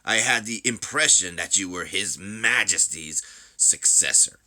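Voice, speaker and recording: A man's voice: sits low, measured pace, pushed and bright, slight background noise, heavily acted. A man voicing a brash, hot-headed soldier rival in a game story, confrontational and indignant. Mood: challenging.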